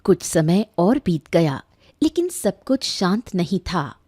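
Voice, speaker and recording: Mellow, expressive woman storyteller. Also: lowish voice, takes it slowly, dry recording, faint music behind. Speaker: narrator. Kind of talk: scripted storytelling narration for cartoon moral tale.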